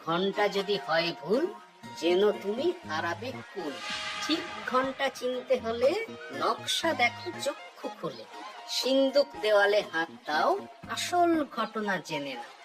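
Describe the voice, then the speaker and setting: A man's voice: high voice, big pitch swings, measured pace, over music, low-quality recording. A man voicing a clever, witty court jester in a Bengali cartoon, wry and reasoning. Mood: reciting riddle.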